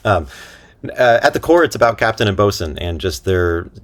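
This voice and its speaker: A man's voice: on the low side, lively intonation, normal speed. A man speaking casually as an interview guest, good-humoured, feeling his way through his phrases. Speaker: interview guest, writer and voice actor.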